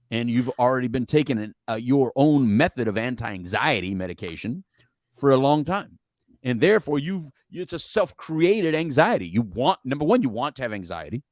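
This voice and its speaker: A man's voice: mid-range voice, no room sound, clean studio sound. A man co-hosting a casual talk show, giving blunt advice with teasing humor and repeated emphatic phrases. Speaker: podcast co-host.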